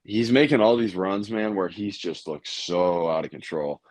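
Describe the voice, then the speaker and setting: A young man with a raspy, tired voice, hosting a casual sports chat and rambling with many fillers. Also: low voice, normal speed, no echo. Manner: rambling, lots of fillers and restarts. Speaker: podcast host.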